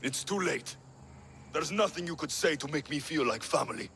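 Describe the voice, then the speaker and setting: An actor playing a bitter, menacing young warrior in a video game, proud and wounded, with an intense, taunting delivery. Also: male voice, fairly high pitch, moderate pace, a bit bright, faint music behind. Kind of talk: video game cutscene acting.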